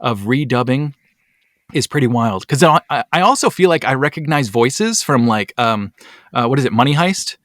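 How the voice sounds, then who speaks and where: Chatty podcast host, dry close sound. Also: male voice, medium pitch, animated, moderate pace, a bit bright, no echo. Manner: chatty, many ums, rising asides. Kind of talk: casual chat.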